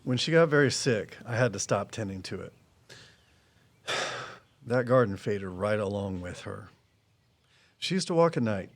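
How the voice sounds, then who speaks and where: An actor playing a guarded, knowledgeable woodsman in an audio drama, explaining things in a measured, folksy way. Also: fairly low pitch, animated. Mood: regretful. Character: Walt, guarded, knowledgeable woodsman and conservation volunteer. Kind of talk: full-cast audio drama dialogue.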